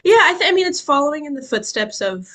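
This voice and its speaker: A woman's voice: high-pitched, very animated, fast, fairly bright tone. A woman from Sweden, a podcast guest, talking casually in an upbeat way with a lot of fillers and restarts. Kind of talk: casual interview chat.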